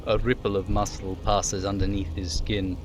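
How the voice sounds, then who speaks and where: Low-voiced man narrating a video game. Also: little pitch movement, normal speed, music in the background. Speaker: streamer. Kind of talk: live reading of game narration.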